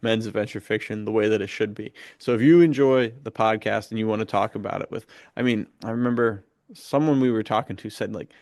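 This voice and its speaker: Man, casual podcast co-host, medium-low pitch, creaky edge, dry sound, bro-ish slang. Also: steady tone, a little fast, few pauses, darkish tone, no echo.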